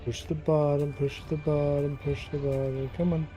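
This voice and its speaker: Mellow-voiced man muttering through a game stream. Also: a little high, flat delivery, moderate pace, soft background music. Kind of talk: casual live gameplay commentary.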